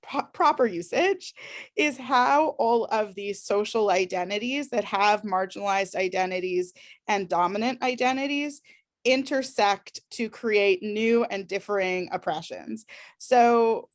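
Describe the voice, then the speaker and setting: A woman's voice: medium pitch, measured pace, no echo. Woman leading a workshop, close and dry. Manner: thoughtful, with frequent ums and restarts. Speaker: workshop facilitator. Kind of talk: presenting a discussion workshop.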